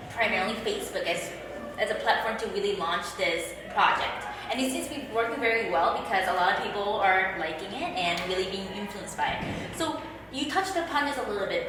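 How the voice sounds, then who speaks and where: A woman's voice: mid-range voice, noisy background. A woman hosting an interview, upbeat and encouraging, chatty with frequent fillers, recorded from a distance in a room. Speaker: host. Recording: echoey room from far off.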